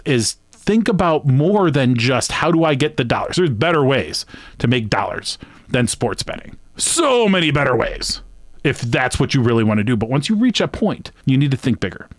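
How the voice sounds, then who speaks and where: A man with a somewhat high voice hosts his own sports betting channel. He talks at length in a loose, earnest, conversational way, leaning hard on some points and going quiet on others. The sound is dry and close. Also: dry recording. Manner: rambling, earnest, conversational, swinging between emphatic and hushed; long-winded. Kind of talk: reflective solo monologue to camera.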